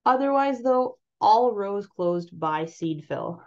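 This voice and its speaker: A woman with a Canadian accent presenting on-farm crop trial results over a slide presentation, explaining them clearly and steadily. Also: mid-range voice, lively intonation, slow, a little mellow. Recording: slightly muffled voice-over.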